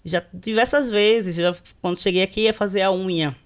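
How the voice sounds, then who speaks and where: A woman in her thirties speaks with a Sergipe Brazilian accent at a comfortable middle pitch. She tells her stories in an unhurried, conversational way, as a guest on a videocast. She often closes a thought with a quick questioning tag. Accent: Sergipe Brazilian Portuguese. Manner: unhurried, tags many phrases with a questioning aside. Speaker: public servant guest on a videocast. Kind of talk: conversational storytelling in a panel discussion.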